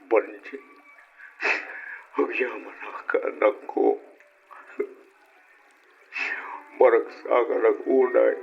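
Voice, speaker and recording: A broken, gravelly Ilocano father. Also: medium pitch, heavily acted. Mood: grateful, anguished. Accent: Filipino, Ilocano. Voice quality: breathy, broken delivery. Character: remorseful, ailing father in prison, weak and weepy. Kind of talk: old radio drama acting. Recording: dull, noisy old radio drama recording.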